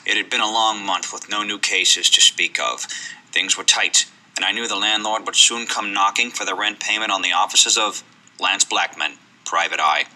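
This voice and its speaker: Man performing a world-weary private eye, low pitch, intense bright edge, deadpan, brooding, old noir radio play. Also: heavily acted, low-quality recording. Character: hard-boiled world-weary male private detective narrating his case. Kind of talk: 1940s noir radio drama acting.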